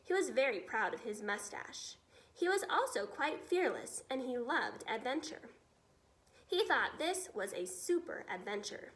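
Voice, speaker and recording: A woman reading a children's story aloud in an expressive teacher's storytelling style. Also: fairly high pitch, a little slow, low-quality recording.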